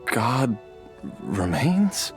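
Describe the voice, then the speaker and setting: A man playing a confused, feeble patient in a video game, halting and stammering as if muddled. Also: mid-range voice, very animated, over music. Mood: dazed.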